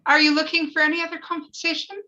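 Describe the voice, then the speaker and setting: A woman plays an earnest, baby-hungry wife in a screenplay read. Her voice is high and very bright, polite and gushing. The sound is a little lo-fi. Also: little pitch movement, fast talker. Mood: earnest, yearning. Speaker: actor in a live table read.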